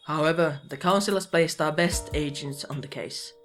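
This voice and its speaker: A performer playing a stern, composed agency commander in a fan-made anime audio drama, speaking formally and with measured authority. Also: mid-range voice, a bit bright, soft background music, close to an everyday voice.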